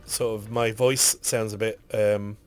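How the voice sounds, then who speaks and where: A man with a husky, hoarse voice from a cough, rambling casually while streaming a game and reading item text aloud. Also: lowish voice, level tone, unhurried, soft background music.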